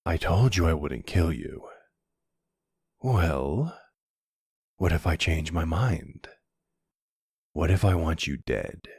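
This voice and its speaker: A man playing a flirty, smug vampire in an intimate ASMR roleplay, taunting and seductive, with a low, gravelly, husky voice. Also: animated, a little mellow, dry recording, clean studio sound, heavily acted. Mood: menacing, possessive. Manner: slow, taunting, seductive drawl. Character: flirty, smug male vampire, predatory and teasing.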